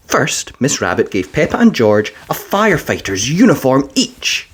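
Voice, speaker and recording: A woman narrating a children's storybook in an expressive, deliberate read-aloud style. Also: extremely low pitch, husky.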